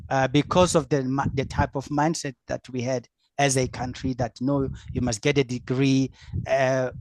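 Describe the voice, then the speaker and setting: A middle-aged man from South Africa with a South African accent whose first language is Afrikaans, answering as a business coach on an online panel, halting with fillers and restarts. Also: medium pitch, a little mellow, no echo. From South Africa, Free State. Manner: halting, many fillers and restarts, laughs easily.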